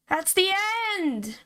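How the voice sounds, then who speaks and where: A woman streamer reading a horror visual novel aloud and reacting to it in an expressive, casually sweary way. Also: extremely high pitch. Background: game music.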